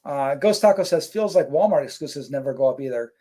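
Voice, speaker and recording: A man's voice: fairly high pitch, fast talker. A man co-hosting a casual live stream, reading out chat comments and rambling with lots of fillers. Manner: relaxed, rambling, many fillers.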